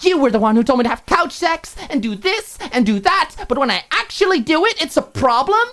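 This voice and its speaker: A woman's voice: high voice, sing-song, fairly quick, fairly bright tone, voice pushed up. A woman voicing a furious, hurt young roommate in an audiobook, firing off sarcastic, emphatic rhetorical questions. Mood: exasperated rant. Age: young adult. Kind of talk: audiobook character acting.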